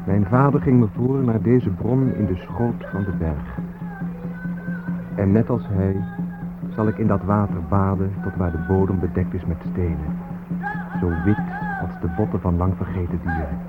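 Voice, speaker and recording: An actor plays a solemn man reciting lyrically in a radio play. His low voice is measured, with music behind it on a muffled recording. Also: close to an everyday voice. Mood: reverent. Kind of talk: poetic radio play monologue.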